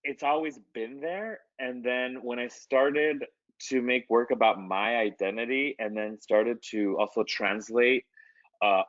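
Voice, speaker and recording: A man's voice: mid-range voice, a bit bright, no echo, lo-fi sound. A man, an artist, answering interview questions in a loose, conversational way, with many fillers and restarts. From United States.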